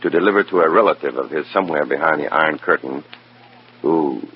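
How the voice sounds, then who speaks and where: An actor playing a wry, bitter undercover FBI informant, sardonic and hard-boiled, in an old radio drama. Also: male voice, a bass voice, fast talker, breathy edge, full character voice. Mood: resentful. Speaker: narrator and protagonist. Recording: dull, muffled old radio recording.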